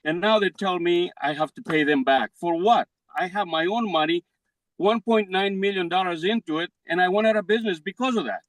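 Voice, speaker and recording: Older man, Greek accent, native Greek speaker, halting broken English, pleading, somewhat high voice, dry close sound. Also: no echo, poor audio. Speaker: defendant in court. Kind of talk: pleading to a judge. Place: courtroom.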